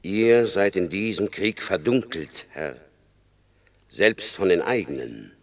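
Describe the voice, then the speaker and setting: An actor playing a cautious, loyal lieutenant in a classical radio play, speaking deliberately and with deference. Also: male voice, low-pitched, big pitch swings, heavily acted. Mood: uneasy counsel. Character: cautious, loyal lieutenant warning his lord.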